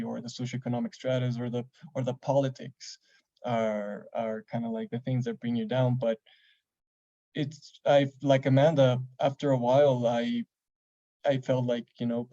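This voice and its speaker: A man from Ecuador speaking thoughtfully and a little haltingly as a webinar panelist over a video call. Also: mid-range voice, dark tone, muffled recording.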